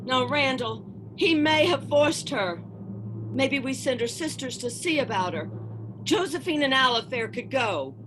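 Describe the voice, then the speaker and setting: A woman's voice: high-pitched, lively intonation, unhurried, noisy background. A woman playing a worried, pleading mountain wife and mother with a Southern US accent in a radio drama.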